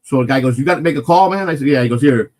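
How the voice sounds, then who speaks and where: A man with a naturally loud voice, hosting a casual morning live stream and rambling through a personal story with lots of swearing and restarts. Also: mid-range voice, rapid-fire. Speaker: morning show host telling a personal story.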